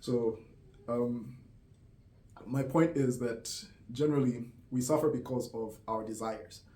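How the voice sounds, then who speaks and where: A man's voice: mid-range voice, measured pace. A man from Zambia talking casually to camera, thoughtful and hesitant, with lots of "um" and "like" and small laughs. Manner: hesitant, many fillers and soft laughs. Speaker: vlogger. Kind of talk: casual reflective monologue. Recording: roomy echo.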